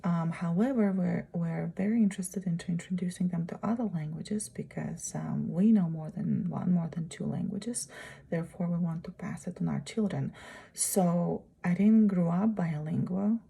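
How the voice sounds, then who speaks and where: A woman speaks in a low, gravelly, husky voice. Her tone is mellow rather than bright. She gives an unscripted presentation, halting and pausing often as she searches for the right words. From Uzbekistan. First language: Russian. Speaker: student presenting a course project.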